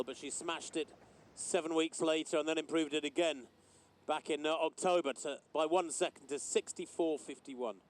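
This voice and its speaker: A man giving live commentary on a half marathon, measured and knowledgeable, pausing often. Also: fairly high pitch, a little hiss or hum. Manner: knowledgeable, measured, with frequent uh pauses. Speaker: race commentator.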